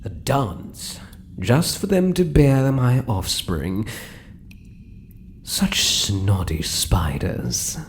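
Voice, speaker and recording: Man playing a slow, crooning British spider monster. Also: medium pitch, lively intonation, a little hiss or hum. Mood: scornful. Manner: crooning, soft menace, breaking into whispers. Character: possessive, sinister yet doting male spider-human hybrid monster. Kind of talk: ASMR roleplay, intimate monologue to listener.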